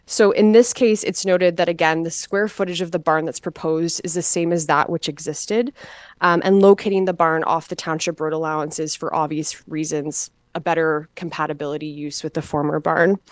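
A woman's voice: deep voice, fairly even intonation, no echo. A woman giving a formal, plain-spoken staff presentation as a municipal planner at a council meeting. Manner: measured, procedural, plain-spoken.

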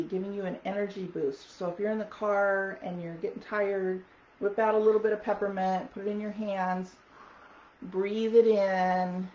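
Raspy woman, chatty vlogger, many ums, echoey room, faint hiss. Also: medium pitch, a little mellow. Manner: chatty, many ums, reads product notes aloud. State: hoarse from talking. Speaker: wellness product vlogger. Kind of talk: casual product talk to camera. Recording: echoey dull audio with faint noise.

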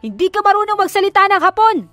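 A woman's voice: very high voice, sing-song, fast talker, fairly bright tone, no room sound, soft background music, voice pushed up, heavily acted. Woman playing a tough, blunt gangster man. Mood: threatening. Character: tough, threatening male gangster.

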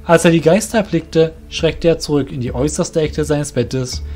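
A man's voice: a little high, moderate pace, warm tone, faint music behind. A man narrating a scripted audio drama as its storyteller.

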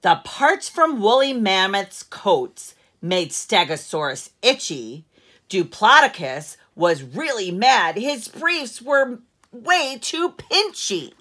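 Bright, animated middle-aged woman reading aloud. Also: medium pitch. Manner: deliberate storyteller phrasing with strong emphasis. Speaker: teacher reading aloud to children. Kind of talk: expressive picture-book read-aloud. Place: living room at home, seated in an armchair.

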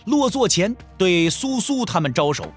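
A man's voice: sits high, very animated, moderate pace, breathy edge, faint music behind. A man narrating a martial-arts novel as a scripted audio drama, telling the story in the third person.

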